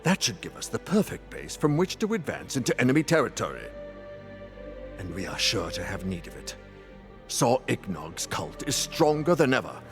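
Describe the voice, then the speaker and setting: A fantasy game council elder statesman, very varied pitch, deliberate, music behind. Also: very animated. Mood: weighty. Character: male war council leader, weighty and determined. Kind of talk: dramatic fantasy game cutscene acting.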